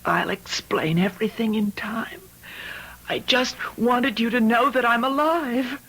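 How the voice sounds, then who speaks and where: A woman's voice: on the high side, unhurried, a bit bright, breathy edge, heavily acted, poor audio. An actress playing a distraught, frightened young woman in an old-time radio mystery, breathless and melodramatic. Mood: shaken, remembering. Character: distraught, frightened young woman fearing she seems mad.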